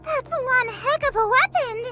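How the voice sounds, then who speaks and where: A woman's voice: extremely high pitch, measured pace. An actress playing a naive, boastful, childlike girl soldier in a video game, with a gushing, theatrical delivery. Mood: wide-eyed excitement. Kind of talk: English video game dub acting. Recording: music behind the voice.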